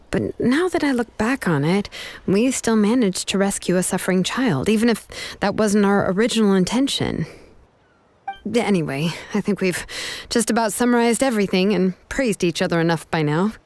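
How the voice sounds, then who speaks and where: An actress playing a calm, reassuring young engraver and mentor in a video game story scene. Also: female voice, medium pitch, a little hiss or hum, lightly acted. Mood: matter-of-fact. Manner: calm, unhurried, gently reassuring. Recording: music under the voice.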